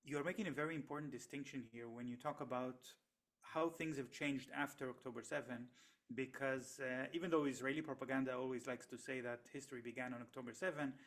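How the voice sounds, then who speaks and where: Middle-aged guy, hebrew is his first language, explaining stuff in an interview, lots of uh's and restarts, average pitch. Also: a bit bright. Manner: measured, with frequent "uh" pauses and restarts. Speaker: economist and researcher, interview guest.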